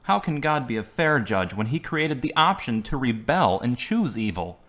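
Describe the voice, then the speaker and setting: A man's voice: medium pitch, very animated, moderate pace, fairly bright tone. A man acting a wounded young man in a hospital bed, curt and argumentative, coughing between lines. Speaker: lead actor. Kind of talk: amateur radio drama acting.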